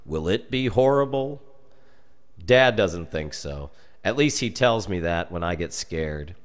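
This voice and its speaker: Low-voiced American man telling a story. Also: lively intonation, a little slow. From United States. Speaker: storyteller reading a story aloud. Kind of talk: expressive scripted narration of a humorous dark tale.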